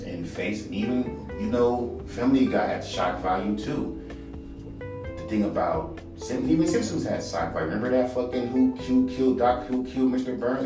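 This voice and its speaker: A man with a baritone voice ranting casually and profanely as a podcast host, rambling and animated. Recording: echoey room, far from mic, music behind.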